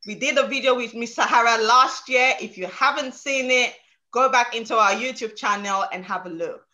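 Bright-voiced woman hosting, emphatic. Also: fairly high pitch, normal speed. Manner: emphatic, tags lines with "okay?". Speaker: show host. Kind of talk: casual interview hosting. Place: home room, indoors. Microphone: video call.

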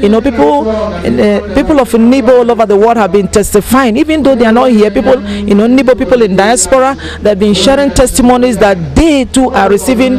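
A woman's voice: on the high side, fairly quick, few pauses, rough recording. Older Nigerian woman testifying over music. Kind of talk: interview testimony. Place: outdoors on a house veranda. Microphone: handheld interview microphone.

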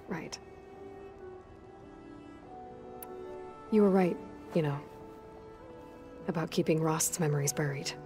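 A determined young woman warrior voiced by an actress in a video game, speaking fairly low. She is earnest and understated, with a dry wryness, and music plays underneath. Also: lightly acted. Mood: wry, reflective. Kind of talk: video game dialogue acting. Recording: music playing behind the voice.

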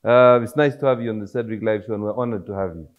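A man in his thirties hosting a studio talk show, asking questions in a friendly, relaxed way. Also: fairly low pitch, fast talker. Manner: friendly, laid-back questioning. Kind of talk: casual interview. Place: studio set with armchairs. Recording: slightly dull studio audio.

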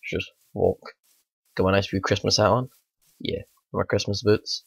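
A man, hoarse from a bad cold, rambling through casual let's play commentary at home. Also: fairly low pitch, little pitch movement, normal speed, no room sound. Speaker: gaming channel host.

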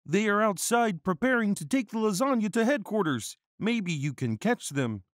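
A man puts on an anxious, apologetic space councilman. The voice sits well above a normal male pitch, formal in manner, in a dry recording for a comic story. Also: expressive, moderate pace, no echo. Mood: worried.

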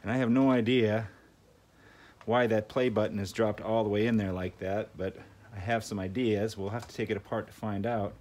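A man's voice: lowish voice, fairly even intonation, normal speed, darkish tone. A man giving a casual hands-on repair walkthrough, thinking aloud in a folksy way as he works. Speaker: host of a tinkering channel. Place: indoors at a work table. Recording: clear background noise, clicks and handling sounds.

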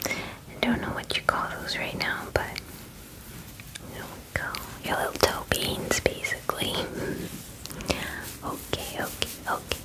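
A woman in her twenties whispering softly and soothingly in an ASMR personal-attention roleplay, murmuring reassurances close to the mic. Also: a little slow, pauses often, rough recording. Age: 20s. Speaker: ASMR creator playing a spa groomer. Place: small dim room indoors.

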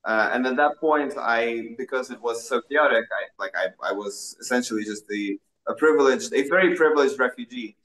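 A man's voice: mid-range voice, moderate pace, fairly bright tone, low-quality recording. A young man from Russia on a video call from a small indoor room, answering questions earnestly with frequent restarts and fillers. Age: young adult. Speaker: interviewed activist. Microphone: laptop webcam on a video call.

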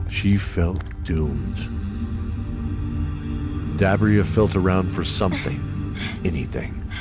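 A man narrating a scripted fantasy story in a measured, dramatic manner. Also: sits low.

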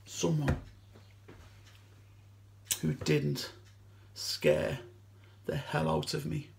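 A young man acting a dramatic stage monologue as a distraught, haunted man, speaking haltingly and emotionally with long pauses. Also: high voice, lively intonation, creaky, slight background noise, exaggerated voice. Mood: bitter, fearful. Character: distraught man haunted by his girlfriend's suicide. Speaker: amateur actor.

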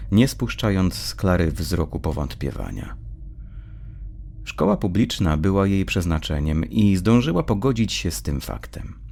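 A man reads dark fiction as an audiobook narrator, in a measured, literary storytelling style. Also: sits low, vocal fry, slight background noise.

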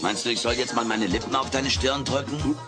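A man's voice: medium pitch, brisk, music in the background, natural voice. A man voicing a cheeky, brash alien houseguest in an audio drama, wisecracking and theatrical with mock innocence. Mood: fussing. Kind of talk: German audio drama dub acting.

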